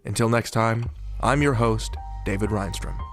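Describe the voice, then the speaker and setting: A man's voice: lowish voice, moderate pace, soft background music. A man hosting a drama podcast, reading scripted teasers and outro and addressing listeners directly with teasing questions. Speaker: host.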